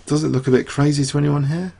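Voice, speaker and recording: A man's voice: fairly high pitch, steady tone, quick pace, gravelly, mellow, slightly rough. A man analyzing a chess game aloud, losing his voice, rambling through rhetorical questions with many fillers. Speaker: chess commentator.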